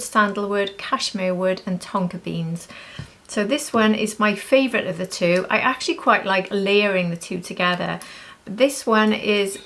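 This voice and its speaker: A woman with an average-pitched voice that often crackles into fry. She talks like a beauty vlogger going through favourite products, chatty and informal. Her delivery gushes, leaning on repeated words for emphasis. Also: fairly bright tone. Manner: gushing, emphatic repetition. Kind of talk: casual chatty product review.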